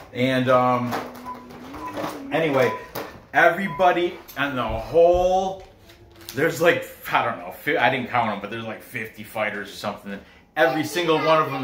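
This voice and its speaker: A person from New York, born in Korea, giving casual, rambling fight betting commentary and often interrupting themselves. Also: medium pitch. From born in Korea, grew up in New York. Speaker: host giving betting picks. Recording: echoey room with noise.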